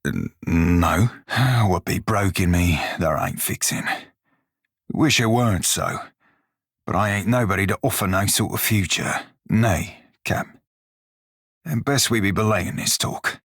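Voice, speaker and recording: An actor playing a gruff, wry pirate shiphunter in a video game, with a rough-edged voice and folksy sailor dialect. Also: male voice, deep voice, a little slow, long pauses, a bit bright, no echo, clean studio sound, full character voice. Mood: subdued, reluctant. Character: salty blue-furred pirate shiphunter, former slave, gruff and wry. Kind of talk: video game character dialogue.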